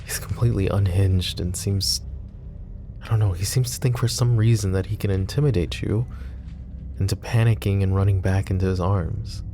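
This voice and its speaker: A man voicing a possessive, protective mafia boss in an ASMR roleplay, talking in a calm, confiding way with casual swearing. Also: low voice, normal speed, faint music behind, close to an everyday voice. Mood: candid. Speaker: voice actor.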